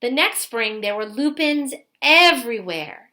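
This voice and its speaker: A woman's voice: a little high, animated, pushed and bright. A woman reading a children's picture book aloud expressively, in the manner of a teacher talking to young listeners. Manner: pauses to ask young listeners questions.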